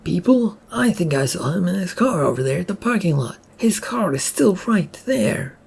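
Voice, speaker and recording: A narrator voicing an excitable, nosy bystander calling out from a crowd in a story reading. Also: medium pitch, moderate pace, gravelly, breathy edge, pitched above the performer's own voice. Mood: curious, shouting. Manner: calling out loudly.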